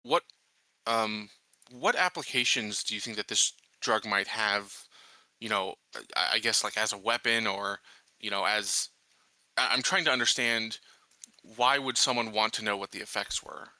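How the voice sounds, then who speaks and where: A man with a very bright, forceful voice pitched a little low, talking through ideas in a tabletop game. He is casual and halting, working out his thoughts as he goes, and the sound is close and dry. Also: no room sound. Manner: hesitant, halting, thinking aloud. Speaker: player in a tabletop roleplaying game.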